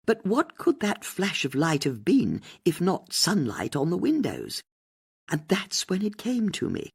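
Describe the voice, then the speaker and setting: A woman's voice: fairly low pitch, wildly swooping pitch, unhurried, vocal fry, a little mellow, slightly rough, voice pushed up, heavily acted. A woman voicing a shrewd, triumphant older female amateur sleuth in an audiobook, speaking precisely and theatrically as if laying out a case. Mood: triumphant, explaining. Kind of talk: audiobook character dialogue.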